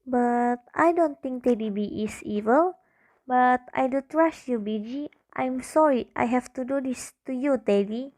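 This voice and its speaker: A little girl , acted by a woman speaks in a high voice, innocent and earnest. She is a trusting, lonely child in an amateur radio drama. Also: a little slow, a little mellow.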